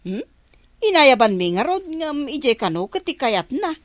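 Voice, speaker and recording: Chatty neighborhood woman, female actor: animated comedy drama delivery, gossipy, slightly high, very expressive pitch. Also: normal speed, no echo. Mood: conversational.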